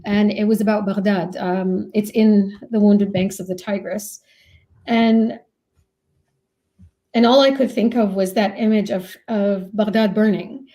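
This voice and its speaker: A woman's voice: mid-range voice, steady tone, unhurried, warm tone. A woman from Iraq, an author being interviewed, giving thoughtful, reflective answers in a conversational way. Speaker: author and professor, interview guest.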